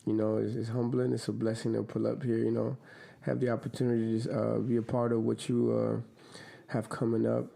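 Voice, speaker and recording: Gravelly, mellow man chatting casually. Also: medium pitch, monotone, a little fast. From Dominican Republic. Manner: laid-back, slangy, many fillers. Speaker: podcast guest, clothing brand owner. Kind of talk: casual interview chat.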